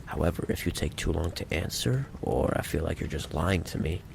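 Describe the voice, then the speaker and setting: A man playing a menacing, possessive mafia boss in an ASMR roleplay monologue, with a low, gravelly voice and a deliberate, coolly condescending delivery. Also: expressive, background noise, exaggerated voice. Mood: calm menace.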